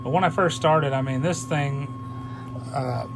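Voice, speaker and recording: A man's voice: a little high, unhurried. A man chatting casually as a CB radio hobbyist while demonstrating a repaired radio. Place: indoor electronics workbench. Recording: clearly noisy workbench recording, background hiss.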